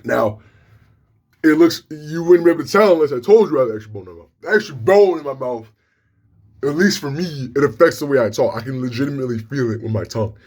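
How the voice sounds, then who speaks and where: A young man with a deep voice and slightly slurred words, talking casually and profanely to the camera with lots of restarts. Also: expressive, fast, a little mellow. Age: young adult. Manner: rambling, frank, profane, many restarts. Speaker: vlogger.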